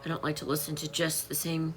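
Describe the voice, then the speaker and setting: A woman's voice: deep voice, monotone, fast, noisy background. A woman in her late fifties chatting casually on a home live stream, greeting viewers by name while she lists items to sell. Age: 50s. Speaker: online seller live-streaming.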